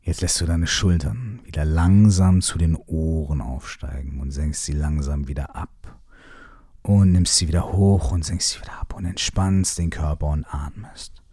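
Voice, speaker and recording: A man with a very deep, husky voice talks a listener through a body-shaking exercise. His instructions repeat in a soft, half-whispered way, casual enough to slip in a curse. The sound is dry and close, with no room around it. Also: steady tone, moderate pace, dry recording. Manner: repetitive spoken instructions with casual swearing. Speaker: movement exercise guide. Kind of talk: guided shaking meditation.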